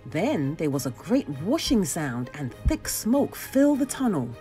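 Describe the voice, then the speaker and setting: Woman, children's read-aloud, dramatic storytelling, very varied pitch, soft-edged tone. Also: sing-song, measured pace, soft background music. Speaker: storybook reader.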